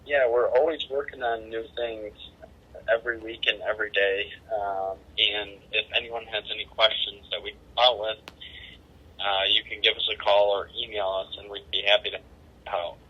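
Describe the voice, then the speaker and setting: A man's voice: fairly low pitch, level tone, normal speed, slightly rough. A man from the United States answering as a customer service representative over a two-way radio, politely and with some hesitation. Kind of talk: unscripted customer support answers. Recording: muffled walkie-talkie transmission.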